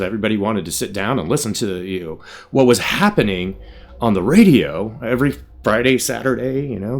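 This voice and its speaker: A middle-aged man telling stories casually in an interview, with an animated, emphatic and salty way of talking. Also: sits low. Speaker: writer and creator of a radio drama.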